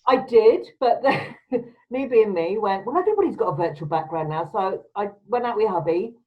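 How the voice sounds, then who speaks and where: A woman's voice: medium pitch, expressive, moderate pace. Chatty woman on a dull call line. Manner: chatty, laughs often, emphatic. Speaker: podcast host. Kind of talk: casual video-call chat. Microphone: video call.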